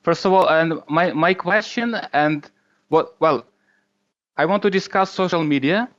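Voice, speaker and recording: A man with a Ukrainian accent calling in to a show, speaking haltingly and searching for words as he puts his questions. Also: fairly high pitch, fairly bright tone. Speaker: call-in guest. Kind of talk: hesitant conversational questioning. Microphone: internet video or voice call. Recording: dull Skype call audio.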